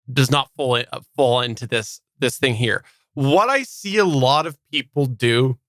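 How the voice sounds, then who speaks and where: A man with a bright, crisp-edged voice talks as a podcast host in a casual discussion. He lays out advice earnestly, posing questions to make his point. The sound is dry, with very little room. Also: mid-range voice, no echo.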